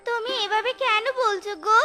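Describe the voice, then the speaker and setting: Devoted beggar wife, cartoon, voice actor performing: extremely high pitch, folksy, pleading, resigned; prominent music bed. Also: fairly even intonation, moderate pace. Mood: consoling. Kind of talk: melodramatic cartoon story dialogue.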